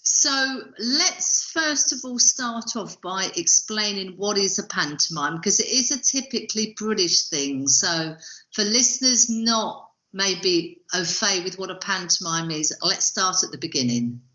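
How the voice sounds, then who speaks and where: A woman's voice: mid-range voice, animated, measured pace, bright, forceful tone. A woman hosting a podcast in a conversational interview style, asking questions and introducing topics. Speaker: podcast host. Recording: dull, lo-fi audio.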